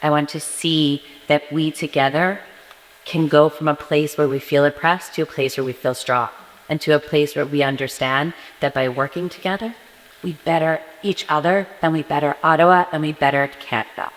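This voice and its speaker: A woman from near New York City speaks earnestly as a panelist and circles back to repeat her key phrases. Her voice sits low. The sound carries the long reverb of a conference hall. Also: steady tone, moderate pace. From northeastern United States, near New York City. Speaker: forum panelist. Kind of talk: personal testimony at a panel.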